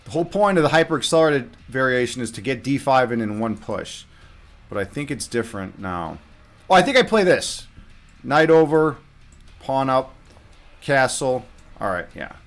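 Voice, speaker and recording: A man's voice: mid-range voice, animated, moderate pace. A man giving casual live commentary on his own game as a streamer, muttering half-finished thoughts to himself as he thinks aloud.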